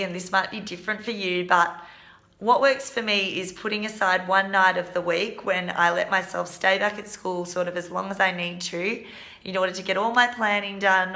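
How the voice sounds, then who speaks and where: A woman in her twenties, a teacher, hoarse today, giving earnest, practical advice in a casual vlog. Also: on the low side, pushed and bright. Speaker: primary school teacher and vlog host.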